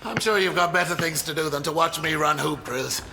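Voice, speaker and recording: A man's voice: fairly high pitch, fairly quick, vocal fry, noisy background. A man playing a dry, exacting older professor and exasperated father in a radio drama, sardonic and pedantic. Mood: sardonic, guarded.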